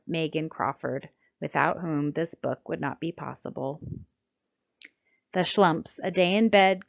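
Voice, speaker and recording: A middle-aged American woman reads a scripted text aloud as a children's author, in a deliberate, expressive storytelling manner. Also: on the low side. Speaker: children's book author reading her own book. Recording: dull, lo-fi sound.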